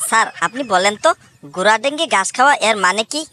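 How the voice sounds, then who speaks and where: A woman's voice: a little high, animated, quick pace, sharp tone, slight background noise. A woman voicing cheeky, argumentative schoolchildren in a comedy cartoon. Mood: cocky, cheeky. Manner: cocky, argumentative. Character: cheeky schoolchildren answering back to their teacher.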